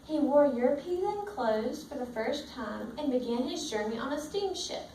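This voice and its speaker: Female teacher, storybook read-aloud, higher-pitched voice, roomy sound with faint noise. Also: lively intonation, moderate pace, dark tone. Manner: checks understanding with simple questions. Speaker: teacher reading a picture book to children. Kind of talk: read-aloud with questions to young pupils. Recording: roomy, distant mic, faint background noise.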